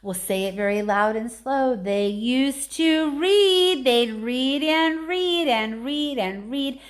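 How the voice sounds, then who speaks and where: A woman's voice: high voice, slow pace, pitched above the performer's own voice. A woman voicing tiny factory workers in a children's storybook, reciting moralising verse with rhythmic, scolding emphasis. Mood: nostalgic, dramatic.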